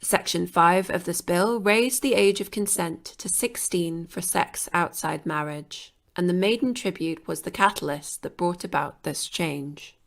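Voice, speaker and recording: A woman reading scripted documentary narration for a podcast in a measured, even way. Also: fairly low pitch, creaky.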